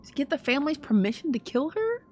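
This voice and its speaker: A woman's voice: high voice, very animated, quick pace. A woman game streamer giving casual, chatty live reactions in an informal way. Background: game audio and music.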